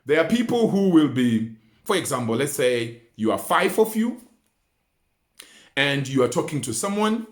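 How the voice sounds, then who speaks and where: A man coaches listeners through practical tips. His voice sits a little high and moves up and down a great deal as he stresses points and repeats them for emphasis. The sound is roomy, with clear echo. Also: big pitch swings, normal speed. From Botswana. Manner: emphatic, didactic, uses rhetorical questions and repetition. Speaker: research mentor and communication coach. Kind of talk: instructional talk with numbered tips. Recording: roomy, echoing indoor sound.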